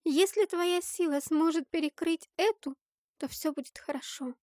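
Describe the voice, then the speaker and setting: A woman voicing an anxious, sorrowful young girl with ancient power, speaking softly and earnestly in an audiobook story. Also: very high voice, normal speed, no room sound, clean studio sound, pitched above the performer's own voice. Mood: earnest, grave.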